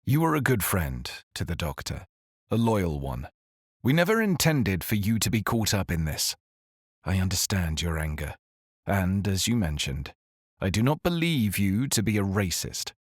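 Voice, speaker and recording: An actor playing an exiled male doctor who speaks in a calm, grave, formal monologue in a scripted audio drama. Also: low-pitched, breathy edge, dry recording, studio quality, heavily acted. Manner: measured, formal confessional address. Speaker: narrator character.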